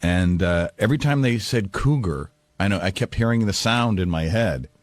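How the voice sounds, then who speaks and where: A man's voice: low voice, normal speed, no room sound. A man who works sound effects on a radio show, telling anecdotes in casual conversation.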